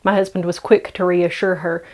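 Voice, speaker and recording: A woman's voice: on the low side, level tone, normal speed. Woman storyteller reading a classic tale. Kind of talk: dramatic audiobook-like story narration.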